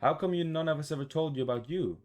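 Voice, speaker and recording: A man voicing a shy, rambling young visual novel protagonist in a halting, awkward way. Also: fairly high pitch, darkish tone, voice pushed up, natural voice. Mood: curious, teasing. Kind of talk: visual novel dialogue read-aloud. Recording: music behind the voice.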